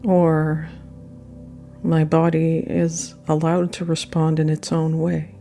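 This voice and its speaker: Low, mellow woman guiding meditation. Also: flat delivery, creaky. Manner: gentle, unhurried phrasing with pauses. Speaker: yoga nidra guide. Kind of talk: guided meditation narration. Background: soft meditation music.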